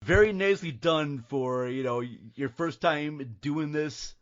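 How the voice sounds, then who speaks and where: A man hosting a podcast, moving between casual introductions and animated scripted narration of audio drama scenes. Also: fairly high pitch, unhurried, highs cut off.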